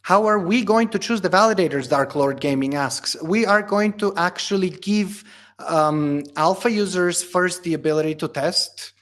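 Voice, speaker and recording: A man with a slightly higher-pitched voice hosts a live Q&A. He reads viewer questions aloud and answers them in a loose, conversational way, often pausing on "uh" and "um." He grew up in Bulgaria. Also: a bit bright. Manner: casual, reads questions aloud then answers with many fillers. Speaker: company founder hosting a livestream Q&A.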